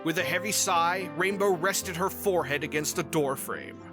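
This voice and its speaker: A male narrator reads a scripted story. His voice sits a little high for a man, and music plays clearly behind him. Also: moderate pace.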